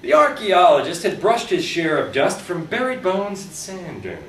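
Animated man reading horror in a roomy space. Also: sits high. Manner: theatrical, deliberate storytelling with wry humour. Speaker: author reading his own fiction. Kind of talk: dramatic live reading of short horror fiction. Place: live reading at a convention, indoors. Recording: roomy, distant live recording with background noise.

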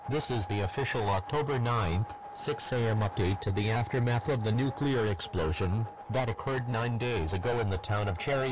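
A man's voice: fairly low pitch, lightly acted. A man voicing an official radio announcer, reading a scripted emergency update in a formal manner. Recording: noisy radio broadcast sound.

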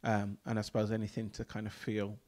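A man in his thirties, a church leader, talking in a relaxed conversational way with frequent ums and easy laughs, on a handheld mic in a small room. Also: fairly low pitch, brisk. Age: 30s. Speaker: church leader, mission coordinator. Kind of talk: casual conversation.

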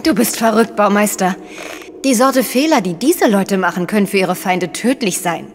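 A woman's voice: mid-range voice, expressive, normal speed, a little hiss or hum, lightly acted. An actress playing a level-headed, self-assured female journalist in a radio play, speaking directly and coolly. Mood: cautioning.

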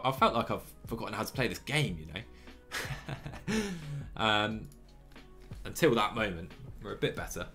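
A young man in his twenties streaming a cycling management game from a small room on a headset, chatty and excitable, laughing often while reading chat. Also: mid-range voice. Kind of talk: live gameplay commentary and chat reading.

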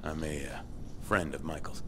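A wry, very deep-voiced survivor. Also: male voice, steady tone, normal speed, bright, forceful tone, a little hiss or hum. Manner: wry, hesitant. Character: laid-back male survivor, wry and easygoing. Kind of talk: video game dialogue.

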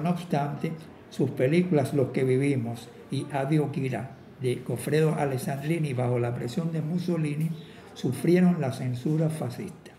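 A man's voice: fairly high pitch, mellow, a little hiss or hum. Older man reading a lecture, echoey room. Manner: measured reading with occasional restarts. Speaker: film critic giving a talk. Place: office with a desk and cabinets, indoors.

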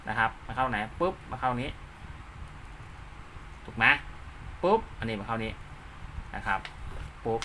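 Guy doing a casual how-to, sharp bright voice, walks you thru each step, some noise behind him. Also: mid-range voice. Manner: step-by-step, often checking the listener follows. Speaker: instructor, channel host. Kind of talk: informal hands-on tutorial.

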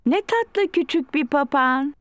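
A woman voicing a calm, kindly mother pig in a children's cartoon, speaking softly and patiently. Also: high voice, measured pace, dry recording, clean studio sound. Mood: gentle. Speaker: dubbing actor. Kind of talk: cartoon dubbing.

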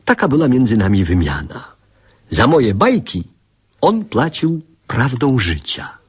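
An actor playing a wistful grown man who narrates memories of his boyhood in a measured, reflective radio drama style. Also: mid-range voice, very animated, breathy edge, exaggerated voice.

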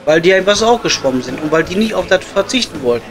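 Guy as sweary chatty ruhr coal miner, highish voice, pub comedy, bit of music under. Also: animated, quick pace. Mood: chatty, joking. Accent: Ruhr area German. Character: blunt, joking working-class coal miner at his pub. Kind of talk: improvised comedy audio play, pub chat.

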